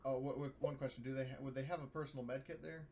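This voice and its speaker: A man's voice: medium pitch, fairly even intonation, very fast. A man playing a tabletop game, chatting casually and thinking aloud in a hesitant way. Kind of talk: casual chat during a game. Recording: noisy microphone with clicks.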